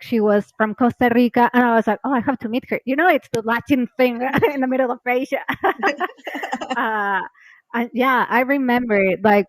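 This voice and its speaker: A woman hosting a podcast, with a fairly high voice. She speaks in a casual, eager way and often chimes in with short words of agreement. The sound is close and dry. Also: no echo, rough recording. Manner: enthusiastic, interjects with affirmations. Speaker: podcast host. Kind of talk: casual interview conversation.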